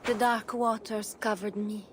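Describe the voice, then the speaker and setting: A woman's voice: medium pitch, fairly even intonation, a little slow, noisy background, natural voice. A woman playing a pious, fervent preacher in a video game, solemn and dramatic in her delivery. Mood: rapt, reverent. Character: fervent, pious female preacher claiming holy healing powers. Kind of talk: video game sermon acting.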